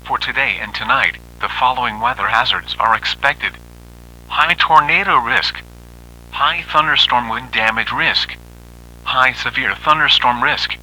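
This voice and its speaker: A man's voice: medium pitch, measured pace, sharp tone, husky, poor audio. A man reading a scripted weather bulletin in a formal, impersonal way, as a weather radio announcer.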